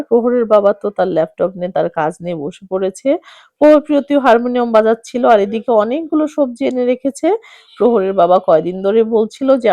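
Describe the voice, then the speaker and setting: Chatty Bangladeshi woman, fairly high voice. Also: mellow, dry recording, clean studio sound. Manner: chatty, addresses viewers directly. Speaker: family vlogger. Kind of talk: narrated daily-life vlog voice-over.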